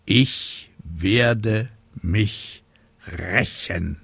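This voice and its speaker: A man's voice: fairly low pitch, expressive, a little slow, creaky, husky, no echo, exaggerated voice. A man voicing a stubborn, grumbling little witch in a storybook, with emphatic, vengeful delivery. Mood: vengeful, indignant.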